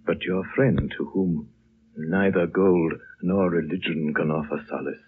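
An actor playing an ancient, serene, dying lama, fatherly and halting, in 1930s radio drama style. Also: sits low, fairly even intonation. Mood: gentle, knowing. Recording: muffled, noisy old 1930s radio recording.

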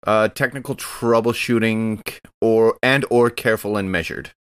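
A man with a fairly low voice, recorded dry with little room sound. He talks casually as a player at a game table, working through explanations step by step. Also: steady tone, normal speed, clear, resonant tone, no room sound.

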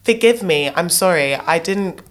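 A young woman with a notably low voice and a southern English accent. She talks candidly and casually as a guest in conversation. Her phrasing is colloquial and she often checks that she is being followed. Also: lively intonation, measured pace. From Kent, England. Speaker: interview guest.

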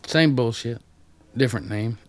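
An actor playing a reclusive, rough-mannered ex-addict loner with a wry, folksy way of talking in a radio drama. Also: male voice, mid-range voice, normal speed, natural voice. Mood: guarded, wry. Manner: folksy, plain-spoken, wry.

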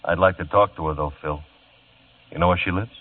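An actor playing a brisk, skeptical male police lieutenant on an old radio drama broadcast. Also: deep voice, steady tone, narrow, tinny audio. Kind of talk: 1950s radio drama acting.

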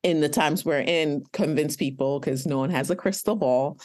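A woman pharmacist and teacher answering interview questions in a measured way, often pausing on "um". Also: deep voice, darkish tone. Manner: measured, many "um" pauses. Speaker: pharmacist and educator.

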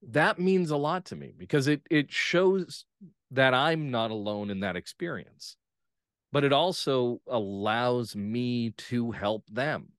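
A man gives reflective interview answers as a guest playwright. He speaks earnestly and in measured phrases, and the sound is dry, with little room around it. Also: medium pitch, clean tone, no room sound.

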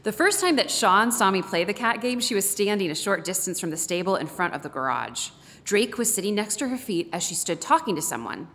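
A woman reads prose aloud from a book at a medium pitch. Her voice rings out in a big, reverberant space, with a long tail of echo after the words. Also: sing-song, a little fast, fairly bright tone, a little hiss or hum. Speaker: guest reader. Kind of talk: read-aloud book excerpt. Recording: echoey hall with long reverb.

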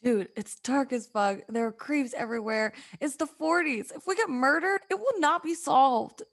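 A woman's voice: high voice, normal speed. A woman playing a blunt, exasperated, foul-mouthed young friend in a comedic radio play, with modern slang and sassy emphasis.

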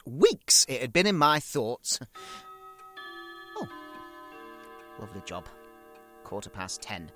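Actor as petty middle-aged British man, prim, florid, indignant, very animated pitch, dry close sound, comic monologue. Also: no echo. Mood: fussy, reminiscing. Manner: prim, pompous, florid wording, prone to indignation. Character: fussy, petty, aggrieved stay-at-home son, middle-aged.

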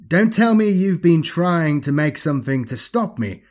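Man voicing a theatrical, mocking alien machine villain, pitched up. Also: expressive, moderate pace, a little mellow, close to an everyday voice. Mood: taunting. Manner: theatrical, taunting.

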